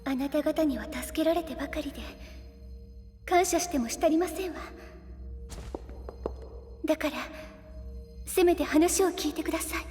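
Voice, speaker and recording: Actress as refined high-voiced young noblewoman in reverb. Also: normal speed, long pauses, darkish tone, close to an everyday voice. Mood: earnest, grateful. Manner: polite, formal, ladylike phrasing. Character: refined young noblewoman of a godlike race, earnest, courteous. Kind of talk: Japanese anime game voice acting. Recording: long reverb, clear music behind.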